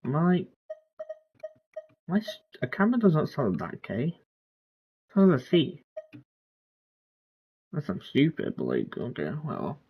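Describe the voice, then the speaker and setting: A game streamer giving casual, halting commentary in an informal, husky voice. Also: medium pitch, wildly swooping pitch. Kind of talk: casual play-along commentary. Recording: dull, lo-fi microphone.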